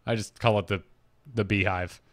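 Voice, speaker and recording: A man's voice: lowish voice, steady tone, moderate pace, fairly bright tone, no echo. Casual male podcast co-host, dry close sound. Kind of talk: casual podcast chat about gaming.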